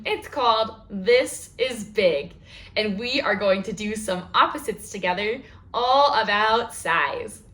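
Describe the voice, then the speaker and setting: Female storytime host for little kids: high, very lively, sing-song delivery, unhurried, in an echoey room. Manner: sing-song, exaggerated, talking to young children. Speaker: children's librarian, storytime host. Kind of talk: read-aloud storytime for young children.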